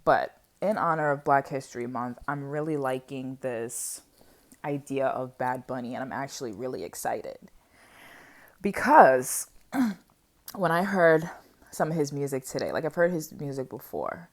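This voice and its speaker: Woman in her 20s w a low voice just chatting to viewers, animated, goes off on tangents. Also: measured pace. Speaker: vlogger sharing personal reflections on history. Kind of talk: casual unscripted chat to viewers.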